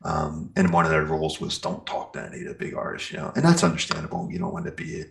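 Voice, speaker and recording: A man with a very deep voice and a creaky, gravelly texture. He talks like a musician being interviewed, informal and rambling. His enthusiasm comes through as he recounts his experiences in casual language. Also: animated, fast talker, fairly bright tone. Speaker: guest, metal band singer and guitarist. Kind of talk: casual chat, telling band anecdotes.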